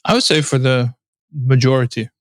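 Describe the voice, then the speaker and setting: A man co-hosting a podcast, thinking aloud in a measured, casual way. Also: mid-range voice. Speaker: podcast co-host. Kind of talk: casual interview chat.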